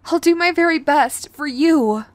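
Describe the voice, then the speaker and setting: A woman's voice: sits high, unhurried, no room sound. A woman playing a sweet, clingy, remorseful yandere girlfriend in an audio roleplay, hesitant and pleading, speaking intimately to the listener. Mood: hesitant, earnest. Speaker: voice actor.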